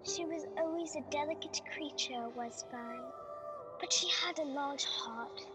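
A woman's voice: high-pitched, measured pace, over music. A woman playing a knowing, teasing spirit guide with an air of authority in a radio play. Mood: gentle, probing. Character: knowing ghostly spirit guide, gently chiding.

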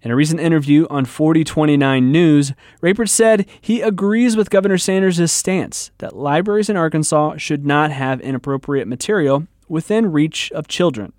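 A man's voice: fairly high pitch, normal speed, hardly any pauses, darkish tone, no room sound, studio quality. An American man working as a radio reporter, reading scripted news narration and putting questions to interviewees.

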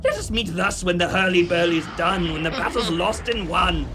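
A woman's voice: on the low side, moderate pace, noisy background, lightly acted. A woman playing a vain, wicked witch in an amateur radio drama, with theatrical, cackling, archaic delivery. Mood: gleefully wicked. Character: vain, wicked witch, proud of her fair skin.

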